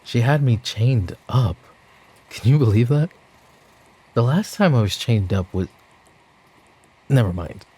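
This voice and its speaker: A man playing a weary, exasperated mafia boss in an ASMR audio drama, talking in a dry, halting way. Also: medium pitch, animated, mellow, husky. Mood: incredulous, flustered. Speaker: voice actor in audio roleplay.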